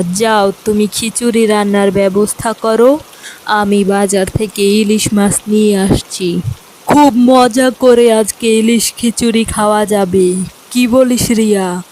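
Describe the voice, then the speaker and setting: A woman's voice: mid-range voice, fairly even intonation, takes it slowly, darkish tone, slight background noise, lightly acted, low-quality recording. A woman playing a cheerful, indulgent old village grandfather in a children's cartoon, coaxing and easygoing. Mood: cheerful, reassuring. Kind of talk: children's fairy tale cartoon dialogue.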